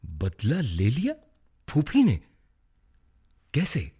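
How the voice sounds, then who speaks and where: A man's voice: medium pitch, huge pitch swings, extremely slow, warm tone, breathy edge, exaggerated voice. An actor in a radio play as a curious, familiar younger brother, questioning his elders and friends. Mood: astonished.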